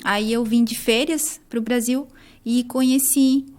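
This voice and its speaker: A woman with a Chilean accent and a high voice answers interview questions as a guest nutritionist. She is a Spanish speaker by birth and explains things patiently, with frequent small fillers. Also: steady tone, slow pace, darkish tone.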